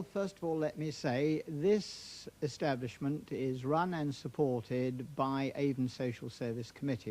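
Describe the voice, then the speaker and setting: A man's voice: mid-range voice, a little mellow, rough recording. A British councillor, a man of mature years, answering a political interview in an emphatic, formal, declamatory way. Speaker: councillor, studio guest.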